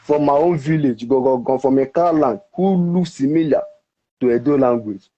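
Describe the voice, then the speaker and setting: A Nigerian man whose first language is Ika, explaining at length with halting restarts in an interview. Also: mid-range voice, dark tone. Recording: dull, muffled recording.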